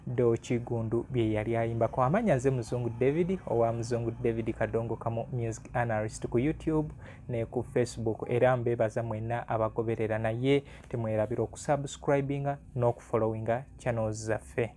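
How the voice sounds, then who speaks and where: A man's voice: mid-range voice, fairly even intonation, brisk, dark tone, a little hiss or hum. A Ugandan man hosting an informal music vlog, talking conversationally and repeating phrases for emphasis. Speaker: music analyst and channel host.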